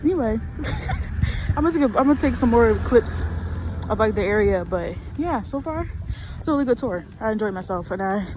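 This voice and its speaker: A woman's voice: a little high, lively intonation, moderate pace, pauses often, background noise. A young woman talking casually to her phone camera outdoors, slightly tipsy, loose and swearing freely. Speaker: vlogger. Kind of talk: casual on-location vlog talk. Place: outdoors in a snowy city.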